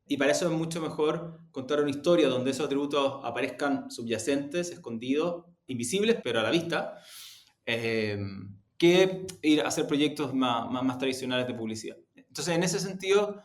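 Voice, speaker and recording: A man with a Chilean accent answering interview questions in a measured, deliberate, halting way. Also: mid-range voice. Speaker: interview guest, audio production executive. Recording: roomy, echoey sound.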